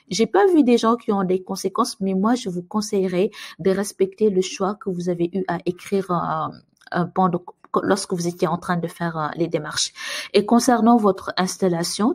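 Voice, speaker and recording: A woman's voice: medium pitch, a little mellow. A woman hosting an advice channel, answering viewer emails in a casual, friendly way with many hesitations and fillers. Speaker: immigration advice channel host.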